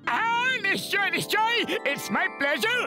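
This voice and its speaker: A man's voice: very high voice, sing-song, a little slow, full character voice. A man voicing a gullible, film-crazy cartoon hero in an eager, theatrical, bombastic manner. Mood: eager, delighted. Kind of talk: exaggerated cartoon dub acting. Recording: background music under the dialogue.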